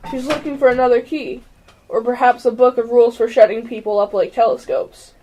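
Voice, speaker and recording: A woman's voice: on the high side, fairly even intonation, brisk, mellow, slight background noise, low-quality recording. A female amateur announcer reading scripted running narration in a radio play.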